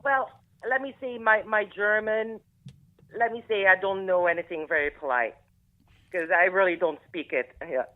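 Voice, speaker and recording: A Belgian woman with a Flemish accent, Flemish-speaking by birth, talking as an interview guest. She sounds lively and friendly and often restarts her sentences. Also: mid-range voice, normal speed. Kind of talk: casual interview on a phone line. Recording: tinny phone line.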